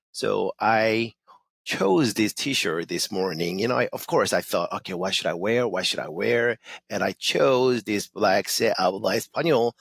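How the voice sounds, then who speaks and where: A middle-aged man with a Korean accent, speaking in a somewhat low voice. He talks as an interview guest, eager and loose, stringing his thoughts together with plenty of fillers. The sound is dry and close. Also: creaky, fairly bright tone, no echo. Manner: enthusiastic, loose, full of fillers like "you know" and "like". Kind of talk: casual interview chat.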